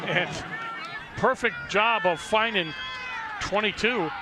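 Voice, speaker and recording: A man's voice: sits high, slow pace, crowd noise, background noise. A man giving folksy, conversational color commentary on a football game, often repeating his phrases. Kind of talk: conversational sports analysis. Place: sports broadcast at an outdoor stadium.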